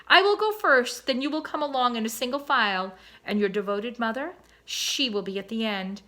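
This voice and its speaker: A woman's voice: a little high, normal speed, sharp tone, pitched above the performer's own voice. A woman voicing a proud father swan who gives a grand, emphatic speech in a storybook.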